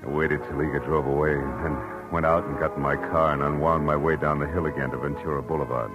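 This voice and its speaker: Very low-voiced, hard-boiled American male gumshoe, dry and terse, vintage radio drama over music. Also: steady tone, quick pace. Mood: grim, tense narration. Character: hard-boiled, world-weary male private detective narrating his case. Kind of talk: 1940s radio detective acting and narration.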